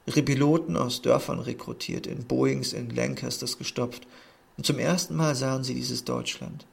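A man reading a literary text aloud in a measured, earnest way. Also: mid-range voice, fairly even intonation, gravelly, breathy edge. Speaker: narrator.

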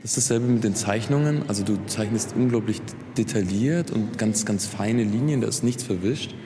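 A man's voice: fairly low pitch, moderate pace, background noise. A man interviewing art students at an exhibition, asking curious questions in a casual way.

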